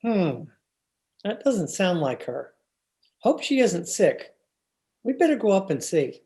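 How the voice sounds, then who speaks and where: Woman playing a mellow, sheepish husband. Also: mid-range voice, very animated, slow. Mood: concerned. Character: concerned, mildly sheepish young-ish husband. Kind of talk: 1940s radio comedy acting.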